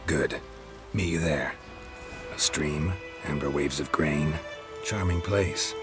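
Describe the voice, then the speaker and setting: An actor playing a gruff male monster hunter who speaks in clipped, flat, terse phrases. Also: rumbling low, slow pace. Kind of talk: video game voice acting. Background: game music.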